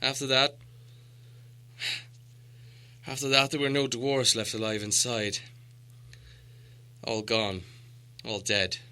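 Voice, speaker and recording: A man's voice: medium pitch, little pitch movement, takes it slowly, pauses often, bright, forceful tone, clean tone. A man voicing a proud, solemn old dwarf leader in an audiobook, with a grave, grandiose storytelling manner. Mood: grim, mournful. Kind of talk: audiobook character dialogue.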